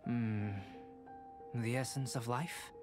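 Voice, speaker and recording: An actor playing a calm, analytical young alchemist in a video game, measured and formal. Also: male voice, mid-range voice, close to an everyday voice. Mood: composed, curious. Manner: measured, formal, detached, cerebral. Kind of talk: anime game voice acting. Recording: music behind.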